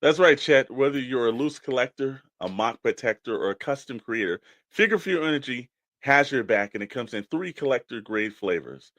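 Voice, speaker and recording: A man's voice: medium pitch, expressive, moderate pace, hardly any pauses, fairly bright tone, dry recording. A male co-host with a mid-range voice chats in a folksy, rambling style. His delivery is casual, joking radio banter. He is recorded close and dry, with no room sound. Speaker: co-host reading weather segment.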